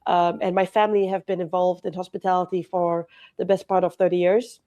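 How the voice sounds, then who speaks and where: Woman, 40s, from Malaysia, mellow tone, slightly nasal, chatty with fillers, remote interview. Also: lowish voice, little pitch movement, a little fast. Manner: chatty, frequent restarts and fillers, laughs often. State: hay fever, a bit nasal. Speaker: hotel company CEO, podcast guest. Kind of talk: casual interview conversation.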